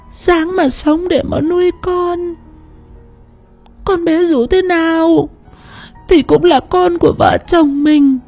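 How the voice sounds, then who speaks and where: A man voicing a frail dying wife who pleads faintly with her husband, in a much higher voice than his own. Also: gravelly, a little mellow, full character voice.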